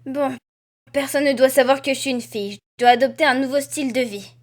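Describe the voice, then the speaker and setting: A woman playing an expressive, excitable young girl who poses as a male student, in an anime fan dub. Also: sits high, fairly quick, lightly acted. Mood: resolute, musing. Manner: expressive, gushing and exclaiming.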